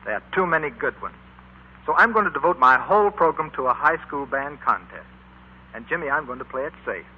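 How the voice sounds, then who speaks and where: A man playing a genial, fair-minded bandleader in a 1940s radio drama, with a husky voice and measured, avuncular manner. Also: fairly high pitch, lively intonation. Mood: genial, assured. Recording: muffled 1940s radio recording.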